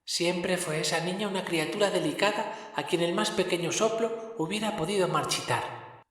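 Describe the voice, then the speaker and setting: A man voicing a solemn ghostly spirit in a storybook reading, speaking softly and somewhat higher than his own voice. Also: moderate pace, very echoey, in a large hall. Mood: solemn, hushed.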